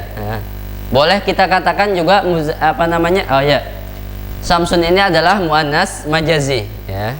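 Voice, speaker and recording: A man with an Indonesian accent leads a classroom grammar lesson in a voice pitched a little high. He drills words one by one and throws questions at his students. The sound rings in a long hall reverb over a faint mains hum. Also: fairly bright tone. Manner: drills vocabulary, asks the class questions, many "ya" tags. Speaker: Arabic grammar teacher.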